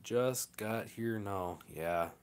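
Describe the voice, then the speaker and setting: Male farmer, lower-pitched voice; plainspoken, rambling livestream host. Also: extremely slow, clear, resonant tone. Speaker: farmer hosting a live stream. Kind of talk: casual chat, answering viewer comments.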